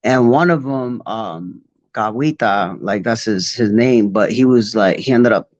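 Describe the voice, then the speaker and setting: A man's voice: lowish voice, a little slow, no room sound, lo-fi sound. A man in his forties, born in Puerto Rico, telling stories in a loose, rambling way full of fillers during a casual interview. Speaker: guest b-boy.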